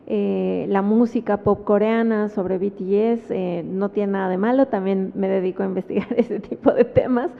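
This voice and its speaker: A woman's voice: mid-range voice, steady tone, moderate pace, gravelly, hardly any pauses. Mexican woman speaking in an echoing hall. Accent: Mexican Spanish. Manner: thoughtful, with frequent fillers and tag questions. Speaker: book presentation panelist. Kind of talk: academic talk at a book presentation. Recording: phone-like sound with long hall reverb.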